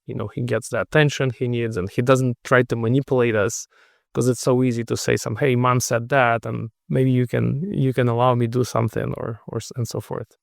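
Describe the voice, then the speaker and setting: A middle-aged man from Russia with a Russian accent, speaking as a podcast guest in a measured, thoughtful way. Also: medium pitch, level tone, vocal fry, no room sound, studio quality. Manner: measured, thoughtful, with frequent restarts. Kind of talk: casual interview conversation.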